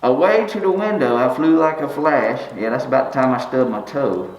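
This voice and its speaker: A man's voice: medium pitch, normal speed, natural voice. A man playing a folksy, bald country fellow who reads a holiday poem aloud, tripping over words and cracking jokes between verses. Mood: joking. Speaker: storyteller reading aloud. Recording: roomy, slightly dull recording.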